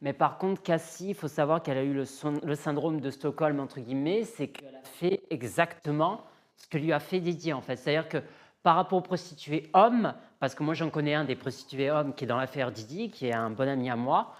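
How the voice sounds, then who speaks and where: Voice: mid-range voice, a little fast. A self-assured interview guest from the south of France, talking candidly and emphatically and repeating phrases for effect. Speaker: guest, music artist.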